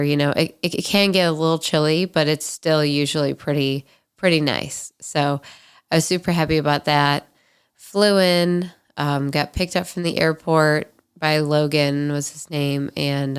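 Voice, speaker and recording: Female podcast host, 20s, nasal and congested, low pitch, casual candid storyteller. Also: clean tone. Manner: candid, chatty, frequent ums and likes. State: nasal, stuffy from allergies.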